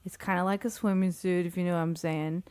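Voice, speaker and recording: A woman's voice: lowish voice, steady tone, fast, dark tone, clear, resonant tone. A woman co-hosting a podcast in casual chat, tired and sleepy, often giggling sheepishly. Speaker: podcast co-host. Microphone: podcast microphone.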